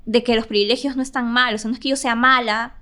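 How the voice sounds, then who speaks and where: A woman's voice: fairly high pitch, fairly even intonation, fast, pushed and bright. A Peruvian woman talking reflectively and colloquially in a podcast discussion, often checking in with short tag questions. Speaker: podcast guest.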